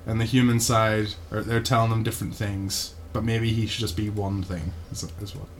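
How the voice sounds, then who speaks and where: A man's voice: fairly low pitch, little pitch movement, normal speed. Sweary man recapping, with noise behind him. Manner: sweary, mocking, tags sentences with "right?". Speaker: podcast co-host recapping a cartoon episode. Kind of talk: casual comedic episode recap chat.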